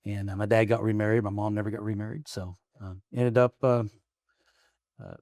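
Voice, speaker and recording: A man's voice: fairly low pitch, flat delivery, brisk, a little mellow, no echo, clean studio sound. A man in his forties from Arkansas, a guest in a casual interview, talking in a rambling way, restarting his stories and chuckling often. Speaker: guest, state representative. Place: office in the state capitol.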